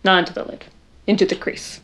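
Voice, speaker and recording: A young woman with a loud voice chatting casually in her bedroom while doing a makeup tutorial, rambling and poking fun at herself. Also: a little fast. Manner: chatty, rambling, self-deprecating, talks to viewers while working. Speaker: makeup vlogger.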